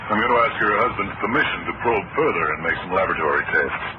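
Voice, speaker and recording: Grave chief surgeon, fatherly authority, lowish pitch, measured delivery, old radio drama, dull muffled recording. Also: expressive, very noisy. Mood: somber.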